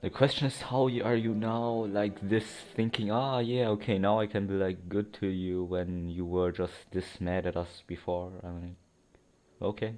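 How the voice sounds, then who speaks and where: A man's voice: lowish voice, flat delivery, normal speed, a little mellow. A man giving casual let's play commentary, rambling and thinking aloud as he plays. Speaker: game streamer.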